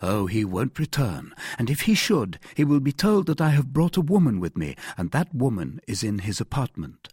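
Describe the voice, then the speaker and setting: A man's voice: mid-range voice, very animated, moderate pace, hardly any pauses, darkish tone, slightly rough, no echo, clean studio sound. A man playing a gallant, courteous young guardsman in an audiobook, speaking earnestly and formally. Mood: earnest, devoted. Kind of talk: audiobook character voice.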